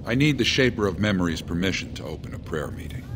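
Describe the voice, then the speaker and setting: A man voicing an earnest, pious dwarf missionary in a video game, speaking in a gently persuasive way. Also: low-pitched, animated, fast talker, noisy background. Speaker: preacher. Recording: game audio with music underneath.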